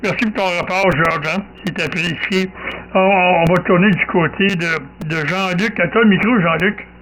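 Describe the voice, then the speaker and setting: A man's voice: high voice, moderate pace, crowd noise, rough recording. A man with a Québécois accent, chatting casually as an amateur radio operator and repeating short affirmations. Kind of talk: casual radio contact chat. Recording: faint radio hiss and ambience.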